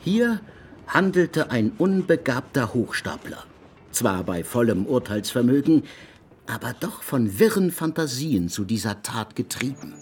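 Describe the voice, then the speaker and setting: Radio play: self-assured 1920s expert lecturer, formal, deliberate delivery, faint noise behind. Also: male voice, mid-range voice, big pitch swings. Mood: assertive, judging. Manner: formal, measured, pronouncing judgement.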